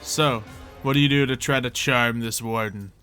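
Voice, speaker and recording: A man runs a tabletop roleplaying game as game master. His voice is bright and mid-pitched, casually improvising as he goes, over faint music. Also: fairly even intonation, normal speed.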